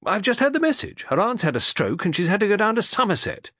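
A man's voice: sits high, very animated, quick pace, fairly bright tone, no echo, studio quality, voice pushed up, exaggerated voice. A man voicing a small, pugnacious, bad-tempered middle-aged dentist who grumbles and complains. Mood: irritable. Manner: peevish, complaining.

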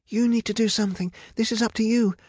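A man's voice: on the high side, fairly even intonation, normal speed, dark tone, husky, no room sound, studio quality, pitched above the performer's own voice. A man voicing a cautious, scolding young woman, an insistent elder sister in a fantasy storybook.

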